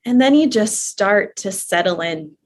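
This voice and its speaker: Female yoga nidra instructor, mid-range voice, gentle and permissive, conversational guided relaxation with soft repeated cues. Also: medium pitch, expressive, unhurried. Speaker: yoga nidra teacher guiding a live class.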